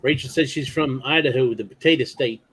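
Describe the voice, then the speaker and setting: A man's voice: mid-range voice, brisk, fairly bright tone, slight background noise. A man hosting a livestream in casual, conversational chat. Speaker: livestream host.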